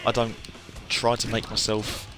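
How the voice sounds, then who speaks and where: A man's voice: lowish voice, monotone, measured pace. A British man chatting casually to viewers on a live stream, rambling and hesitant, often trailing off. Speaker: hobby game streamer. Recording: music playing behind the voice. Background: music.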